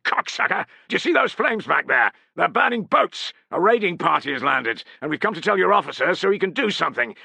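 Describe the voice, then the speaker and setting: A man voicing a tough, angry Roman veteran legionary who snarls and shouts curses. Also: lowish voice, no echo. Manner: snarling, shouting, foul-mouthed.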